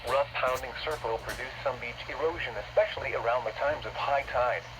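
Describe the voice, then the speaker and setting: A man's voice: medium pitch, fairly quick. A man reading a formal, impersonal scripted weather bulletin in clipped segments, heard over a weather radio broadcast. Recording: noisy radio reception, static.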